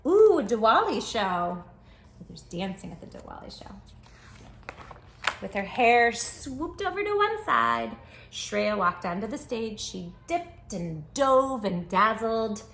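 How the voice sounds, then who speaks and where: A woman's voice: on the high side, very animated, slow, fairly bright tone, a little hiss or hum. A woman hosting a children's storytime, reading aloud expressively with dramatic emphasis and speaking directly to young listeners. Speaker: children's book read-aloud host. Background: music.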